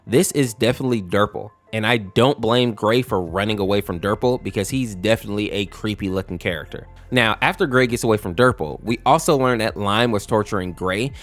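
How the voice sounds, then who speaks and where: Man, lowish pitch, casual video narration, conversational phrasing, faint music behind. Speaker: video narrator explaining horror lore. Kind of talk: casual explainer commentary. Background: music bed.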